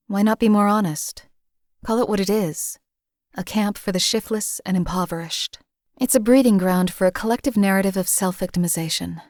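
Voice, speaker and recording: A woman's voice: fairly low pitch, darkish tone, no room sound, clean studio sound, natural voice. A woman voicing a cold, disdainful hired killer. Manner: formal, clipped and disdainful. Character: cold, contemptuous woman assassin for hire. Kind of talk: game character voice lines.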